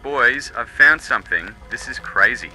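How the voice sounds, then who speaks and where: A man acting a brash, very sharp-voiced recon worker. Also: mid-range voice, animated, normal speed, faint music behind, heavily acted, rough recording. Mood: amazed, excited. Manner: brash, joking, teasing. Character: cocky, laddish male recon worker on a radio. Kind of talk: dramatised audiobook radio dialogue.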